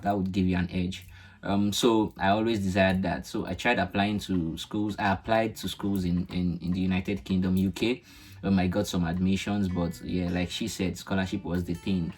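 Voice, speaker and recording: Deep-voiced young Nigerian man. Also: darkish tone, breathy edge. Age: young adult. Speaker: guest. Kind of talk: casual chat.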